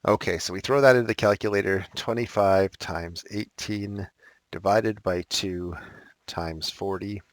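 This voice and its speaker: Guy teacher walking thru math problems, lowish voice, a bit raspy, dry close sound. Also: measured pace, dry recording. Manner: casual, thinks aloud, corrects himself. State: slightly raspy from talking. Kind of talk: recorded math lesson walkthrough.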